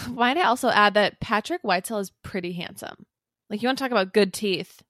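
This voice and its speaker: A woman's voice: mid-range voice, a little fast, a bit bright, dry recording. Wry chatty woman cohost on a podcast, super dry close recording. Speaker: podcast co-host. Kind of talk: casual chat.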